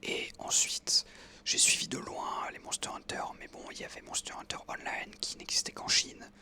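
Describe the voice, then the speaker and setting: A man in his twenties whispering a relaxed personal story while out on an evening walk, hesitating often with fillers. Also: moderate pace. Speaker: ASMR creator.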